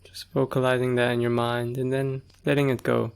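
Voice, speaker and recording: A man leading a guided meditation, speaking gently and leaving long pauses between short phrases. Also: medium pitch, monotone, creaky, clear, resonant tone. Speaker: meditation teacher.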